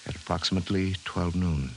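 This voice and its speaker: Grave low-voiced scientist, hissy old radio. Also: male voice, gravelly. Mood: grave, certain. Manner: measured, formal, emphatic delivery. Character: grave, precise male scientist, a government professor. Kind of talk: 1950s radio drama acting. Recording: hissy 1950s radio recording.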